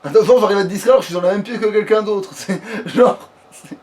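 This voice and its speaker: A man's voice: high-pitched, fast, darkish tone. A man giving casual, rambling gameplay commentary as a let's play host, swearing freely and talking crudely.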